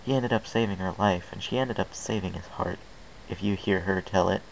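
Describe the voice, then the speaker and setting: A man's voice: deep voice, brisk, gravelly, low-quality recording. A man narrating a first-person story as an amateur reader. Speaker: amateur fan fiction reader. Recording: hissy amateur home recording.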